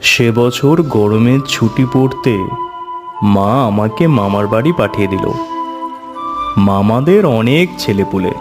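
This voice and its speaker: An avuncular tantric storyteller recounting eerie memories for a horror cartoon, played by a man. He narrates slowly and with measured suspense in a medium-pitched voice, with light music beneath.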